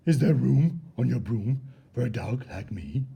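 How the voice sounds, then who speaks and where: A man's voice: medium pitch, animated, unhurried, creaky, mellow, slightly rough, heavily acted. A man voicing an eager, polite storybook dog with a bouncy delivery. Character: eager, keen dog asking politely to join.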